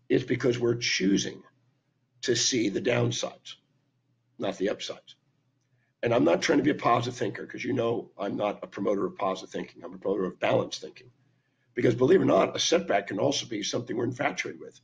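Hoarse older man, raspy lecturing voice. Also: fairly low pitch. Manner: emphatic, lecturing, lists points with hand gestures. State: hoarse from laryngitis. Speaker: speaker and teacher. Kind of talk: talking to camera, teaching talk. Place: indoor room at home. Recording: dull lo-fi webcam sound.

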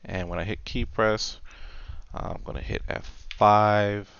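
A man presenting an unscripted software tutorial, explaining step by step in a halting way. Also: on the low side, steady tone, clean tone, lo-fi sound. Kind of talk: unscripted screen-recorded tutorial.

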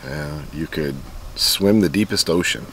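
Deep-voiced man in his forties, dry-humored vlog host, lapel mic outdoors, faint background hiss. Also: unhurried, fairly bright tone. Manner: dry joking, low-key in the morning. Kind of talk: casual vlog chat. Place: outdoor campground under a canopy.

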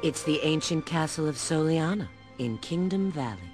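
A woman voicing a sly, flirtatious bat spy in a video game, with a low, sultry, teasing delivery. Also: expressive, moderate pace, darkish tone. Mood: explaining. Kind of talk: video game cutscene acting. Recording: music under the voice.

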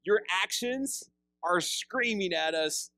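A pastor in his thirties preaching a sermon, seated with a handheld mic, animated and conversational, often repeating words as he restarts. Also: high voice, slow pace, no echo, clean studio sound. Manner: animated preaching with big hand gestures, rising loud on emphasis. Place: church fellowship hall, seated on a stool.

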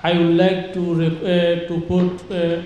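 A man makes a formal, earnest request from an audience, haltingly. His voice is high with very little melody. The room echoes strongly, with faint noise behind. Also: completely flat delivery, mellow, dull audio. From Bangladesh Rohingya refugee camp. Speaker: audience questioner. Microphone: handheld.

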